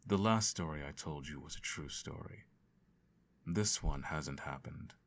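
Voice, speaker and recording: A man playing a stern, cold evil emperor in an audio roleplay, speaking to a child in a measured, imperious, formal way. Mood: stern, instructive. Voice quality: very deep voice. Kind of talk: roleplay audio, bedtime storytelling and lecture.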